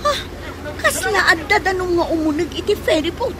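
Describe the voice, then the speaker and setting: A radio drama heroine in distress, young, bossy and urgent. Her voice is extremely high and lively in pitch. Loud outdoor effects run behind her. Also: normal speed. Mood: alarmed, urgent. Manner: urgent, exclaiming. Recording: noisy outdoor sound effects, crowd behind.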